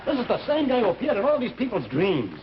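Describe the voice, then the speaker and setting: A stubborn, excitable investigator in an old-time radio thriller. His fairly high voice swings widely as he insists and pushes. The recording is muffled, with a light hiss. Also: fast talker, full character voice. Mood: insistent. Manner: insistent, clipped, emphatic.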